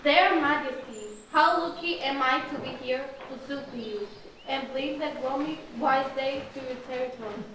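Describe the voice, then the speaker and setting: A woman's voice: high-pitched, moderate pace, a bit bright. A woman playing a courtly wind spirit with a grand, formal manner in a puppet show. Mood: courtly, pleased. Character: courtly female wind spirit addressing the forest king. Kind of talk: puppet show stage acting. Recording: echoey distant room, clear noise.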